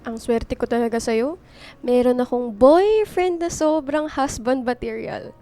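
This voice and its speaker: High-voiced Filipino career woman. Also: measured pace, dark tone, soft background music. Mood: soft, affectionate. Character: strict, hardworking career woman, affectionate girlfriend. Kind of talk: Filipino radio drama acting.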